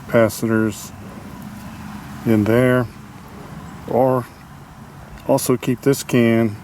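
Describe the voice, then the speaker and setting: A man giving a casual, unscripted hands-on repair demonstration, halting as he thinks aloud. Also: mid-range voice, a little mellow. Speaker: hobbyist radio restorer demonstrating a repair. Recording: clearly noisy amateur video sound.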